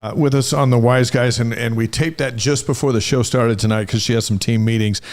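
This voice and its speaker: Male host for casual sports talk, average pitch, conversational. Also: fairly even intonation, fairly quick.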